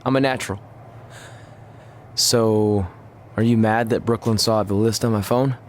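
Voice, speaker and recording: Easygoing jokester wrestler for a video game, played by a man: somewhat low pitch, deadpan, conversational, slight noise behind. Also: a little slow, natural voice. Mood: easygoing, joking. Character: good-natured, jokey male pro wrestler, loyal friend.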